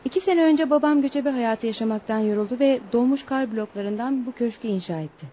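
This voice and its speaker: Woman playing a high-voiced, polite young Eskimo girl. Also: a little fast, warm tone, lightly acted. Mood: proud. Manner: formal, polite, earnest. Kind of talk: Turkish radio theatre acting. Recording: noisy radio play recording.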